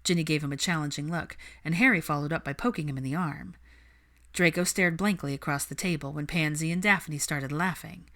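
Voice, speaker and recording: A woman's voice: sits low, fairly even intonation, fairly quick, fairly bright tone. A woman reading a story aloud as a narrator, in a scripted storytelling style. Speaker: audiobook-style narrator of fan fiction.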